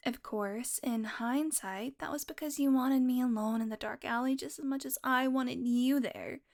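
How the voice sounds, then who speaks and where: A woman's voice: high-pitched, normal speed, creaky. A woman voicing a playful, predatory female vampire who teases and mocks, sometimes dropping to a whisper. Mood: smug. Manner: playful, mocking, drifts into whispers. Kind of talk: audio roleplay.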